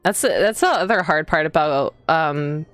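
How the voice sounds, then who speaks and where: Low-pitched woman streamer with playful commentary. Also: lively intonation, unhurried, a bit bright, dry recording. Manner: playful, teasing, giggly asides to viewers. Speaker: streamer playing a visual novel. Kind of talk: casual live gameplay commentary and reading aloud. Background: game music.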